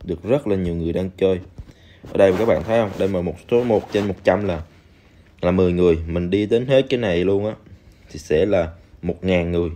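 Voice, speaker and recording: Low-voiced chatty man. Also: quick pace, warm tone, slight background noise. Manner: chatty, addresses viewers directly, many fillers. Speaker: game reviewer. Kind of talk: casual screen-recorded game walkthrough. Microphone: voice-over on screen recording.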